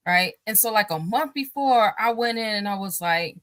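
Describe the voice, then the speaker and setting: A woman's voice: medium pitch, expressive, moderate pace, a bit bright, no room sound, clean studio sound. A married woman, a guest on a talk show, speaking casually in a rambling way with many fillers and restarts, and often laughing as she talks. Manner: laughs often while talking.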